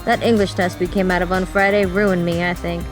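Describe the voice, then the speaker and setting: A woman's voice: on the low side, flat delivery, a little fast, natural voice. A woman voicing an affectionate, easygoing teenage schoolgirl with a wry manner in an audiobook. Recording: music behind the voice.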